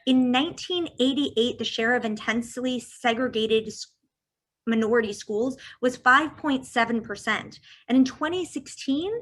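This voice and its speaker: A woman from California giving a prepared talk as guest speaker, walking through figures on slides in a measured way. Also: a little high, a bit bright.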